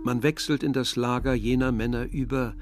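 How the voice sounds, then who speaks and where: An actor as a reflective narrator, giving measured literary narration in a radio drama. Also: male voice, mid-range voice, steady tone, lightly acted. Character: reflective male narrator recalling village and brother. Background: music.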